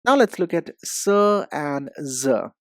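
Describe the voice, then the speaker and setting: A man with an Indian accent giving an instructional English pronunciation lesson, speaking in a deliberate, carefully enunciated way. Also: mid-range voice, sing-song, dry recording, clean studio sound. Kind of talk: instructional lesson with repeat-after-me drills.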